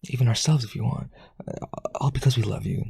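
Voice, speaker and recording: A man playing a powerful, rich vampire aristocrat, desperately devoted, with breathy, raspy, intense and coaxing speech in a roleplay audio. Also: medium pitch, mellow, dry recording, studio quality, full character voice. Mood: adoring. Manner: intense, coaxing, begging, halting.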